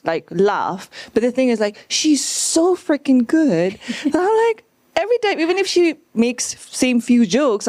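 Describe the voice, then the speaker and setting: Effusive higher-voiced woman interviewer, dry sound. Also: a little mellow, no room sound. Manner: effusive, informal, exclaiming. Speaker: interviewer, host. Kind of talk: casual chat interview.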